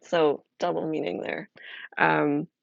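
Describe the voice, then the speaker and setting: A woman streamer chatting casually as she explains a solo role-playing game, halting and thinking aloud. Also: sits low, flat delivery, gravelly, no echo, highs cut off.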